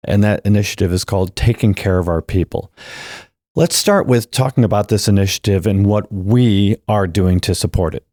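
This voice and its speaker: A man hosting a podcast interview, asking steady, prepared-sounding questions. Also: on the low side, normal speed, no echo, studio quality. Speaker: podcast host.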